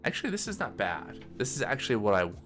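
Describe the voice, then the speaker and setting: A man giving casual think-aloud commentary as a game developer on a coding stream, halting and muttering to himself as he works. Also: lowish voice, very animated, over music.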